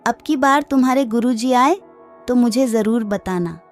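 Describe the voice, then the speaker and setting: A woman's voice: a little high, normal speed, faint music behind, close to an everyday voice. A woman playing a gracious, curious queen in a devotional cartoon story. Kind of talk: devotional animated story acting.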